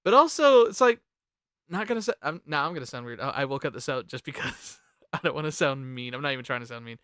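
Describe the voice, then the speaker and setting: Animated host chatting w friends, bright forward voice, swears a bit, super dry audio. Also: medium pitch, fast, clean tone, no room sound. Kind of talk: casual group chat, ranking songs.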